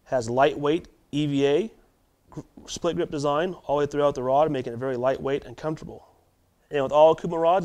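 A man in his thirties hosting a tackle shop video, running through product specs in a steady delivery with small restarts, in a warehouse storeroom. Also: on the high side. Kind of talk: product rundown to camera. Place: warehouse storeroom with shelves of boxes.

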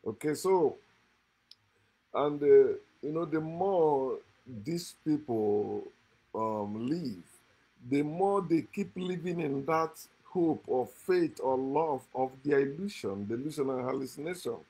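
Husky middle-aged guy preaching into his phone indoors, keeps repeating lines for emphasis. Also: fairly high pitch, lively intonation, slow pace, mellow, low-quality recording. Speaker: host. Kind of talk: lecture to camera. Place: indoor room, seated.

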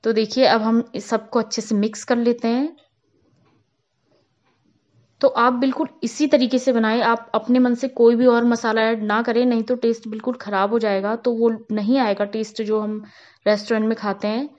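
A woman hosting a cooking channel, calmly talking viewers through a recipe step by step in a voice-over. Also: a little high, moderate pace, muffled recording. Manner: calm, step-by-step instructional. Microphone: voice-over, not on camera.

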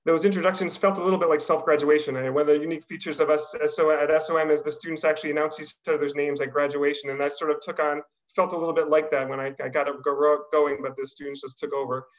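A middle-aged man hosting an online panel, speaking in a halting, polite, apologetic way over a video call. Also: on the high side, little pitch movement. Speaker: admissions dean hosting a panel. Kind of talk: hesitant unscripted welcome on a webinar. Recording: slightly dull video-call audio.

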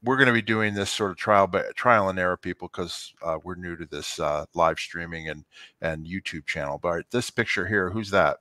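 A man's voice: deep voice, moderate pace, sharp tone, dry recording, studio quality. An older man co-hosting a casual video-call chat from his kitchen, talking through a headset with lots of fillers and affirmations. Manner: chatty, affirming, many fillers. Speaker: co-host. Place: home kitchen, indoors.

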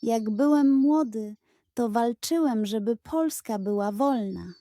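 Woman voicing a kind, slow-speaking old grandfather. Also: fairly high pitch, warm tone, no room sound. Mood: wistful pride. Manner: earnest, tender, measured storytelling to a child. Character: kind old grandfather, proud former soldier, wistful and modest. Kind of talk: children's audio storybook character.

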